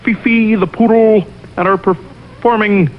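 A man's voice: high voice, steady tone, unhurried, a little hiss or hum. A man parodying a stiff, awkward variety show host announcing acts in a 1960s TV cartoon. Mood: stiffly announcing. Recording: thin tinny old TV audio.

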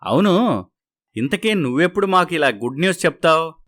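A man's voice: fairly high pitch, very animated, a little fast, a bit bright, dry recording, clean studio sound. A man voicing a cheerful, teasing office colleague in an audio story.

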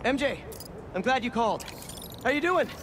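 Young game hero, breezy, very varied intonation, music underneath. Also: male voice, very high-pitched, expressive, normal speed, a bit bright. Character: breezy, oblivious young male superhero. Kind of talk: naturalistic video game acting.

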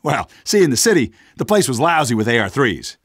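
An actor playing a cocky, stubborn rebel fighter in a video game, casual, streetwise and gravelly. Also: male voice, mid-range voice, big pitch swings, fairly quick, fairly bright tone, no room sound, studio quality. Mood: smug, stubborn.

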